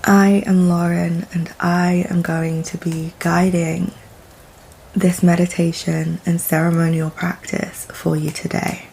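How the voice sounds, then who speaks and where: Very slow, mellow woman guiding meditation. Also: on the low side, little pitch movement, gravelly, a little hiss or hum, low-quality recording. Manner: soft, soothing, deliberate with long pauses. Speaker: guided meditation host.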